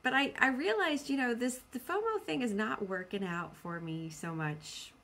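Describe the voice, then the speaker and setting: A woman's voice: a little high, normal speed, a bit bright, a little hiss or hum. A woman chatting casually and spontaneously as a book vlogger, rambling in a playful, self-deprecating way.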